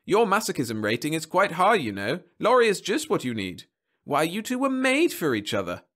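A man voicing an excitable, smug bureau official with an emphatic manner in a science fiction story. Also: on the high side, normal speed, pitched above the performer's own voice. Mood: genial, smug. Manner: effusive, emphatic.

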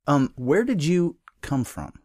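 A man's voice: a little high, big pitch swings, slow pace, a little mellow, no echo, studio quality. A man hosting a podcast, asking short curious follow-up questions and laughing along with his guest. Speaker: podcast host. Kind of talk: casual interview conversation.

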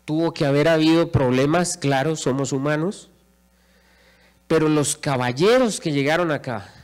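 Colombian guy, middle-aged, kinda high voice, preaching away on a big stage w a handheld mic. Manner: rhetorical, repeats phrases for emphasis, paces the stage. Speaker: lecturer. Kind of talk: impassioned public speech. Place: large auditorium stage. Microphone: handheld microphone.

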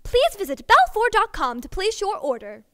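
A woman reads scripted school announcements. Her voice is high, bright and very animated. She delivers it like a show presenter. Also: moderate pace, a little hiss or hum.

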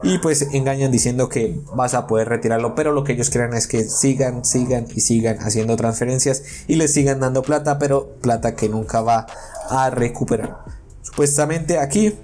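Indignant man, mellow voice. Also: mid-range voice, soft background music. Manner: indignant, earnest, explains slowly while reading messages aloud. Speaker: YouTuber warning about an investment scam. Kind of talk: casual screen-recording commentary. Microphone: voice-over on a screen recording.

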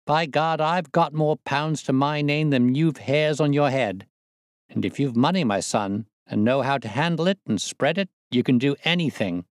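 A man's voice: mid-range voice, moderate pace, no echo, studio quality, pitched above the performer's own voice, natural voice. A man reading a story aloud, voicing a boastful, swaggering young male convict who leads a plot. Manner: boastful, coarse, excitable.

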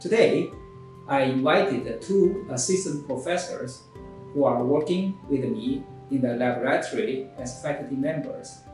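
A middle-aged male professor speaks in a calm, measured way, listing items in short phrases. His voice sits in an ordinary pitch. The room rings with heavy echo, and faint music plays underneath. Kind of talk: formal prepared introduction. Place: bare room with grey wall.

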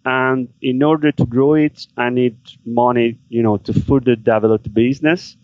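A man answering interview questions in halting second-language English. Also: medium pitch, fairly even intonation, no room sound. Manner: halting non-native English with fillers. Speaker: guest, business seller. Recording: dry, dull remote call audio.

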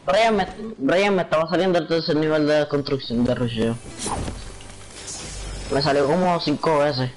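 A man's voice: on the high side, moderate pace, pauses often, low-quality recording. A young Puerto Rican man with a partly Mexican-tinged Spanish accent, explaining game tricks to friends over a headset. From Puerto Rico. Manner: explaining, counting aloud. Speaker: gaming friend. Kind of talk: casual gaming chat.

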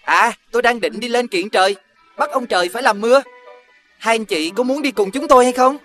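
A tiny, plucky toad leader acted by a woman with a high voice and a polite, confident way of talking. It is a children's cartoon character, heard over background music. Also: a little fast, fairly bright tone, natural voice. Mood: polite, bold. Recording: music behind the voice.

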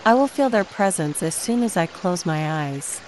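Low-voiced woman guiding a meditation. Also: lively intonation, normal speed, a little mellow, slight background noise, low-quality recording. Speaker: guided meditation narrator. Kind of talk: scripted guided meditation affirmations.

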